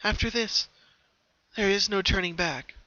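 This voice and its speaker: Man as a ghost filly, young girl, raised pitch, solemn, ominous, measured, slightly dull recording. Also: bright, forceful tone, no room sound.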